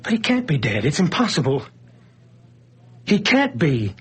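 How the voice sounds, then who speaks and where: A man's voice: a little high, huge pitch swings, measured pace, a bit bright, a little hiss or hum, heavily acted. A bewildered man in a radio play. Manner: bewildered, growing panicked. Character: confused man named Jim Brent, adult. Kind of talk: vintage radio drama acting. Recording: radio play with music behind.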